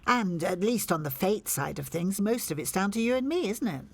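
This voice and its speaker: A woman's voice: medium pitch, animated, normal speed, a little mellow, slightly rough. A woman playing a genteel, subtly manipulative committee woman in a radio soap, prim and pointed. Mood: prim, pushy. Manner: prim, pointed tag questions.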